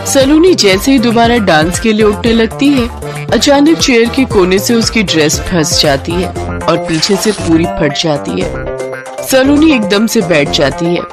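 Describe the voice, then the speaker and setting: Female storyteller for scripted moral tales, medium pitch, clear music bed underneath. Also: expressive, unhurried. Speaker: story narrator. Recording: voice over prominent music.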